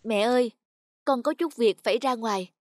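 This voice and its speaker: An actor voicing a devoted, anguished young peasant son in an animated folk tale, earnest and pleading. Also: mid-range voice, moderate pace, music in the background, close to an everyday voice. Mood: anxious.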